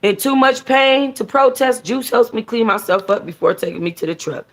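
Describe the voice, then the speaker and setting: A woman reading a novel aloud in an expressive, conversational, sassy way with casual slangy asides, as a book club host. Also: on the high side, moderate pace. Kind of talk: expressive first-person audiobook-style reading with casual asides.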